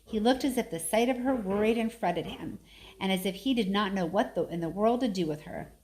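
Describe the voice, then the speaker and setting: A woman's voice: mid-range voice, fairly quick, a little mellow, rough recording. A middle-aged woman reading a story aloud expressively, slipping into casual, conversational talk. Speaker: story reader.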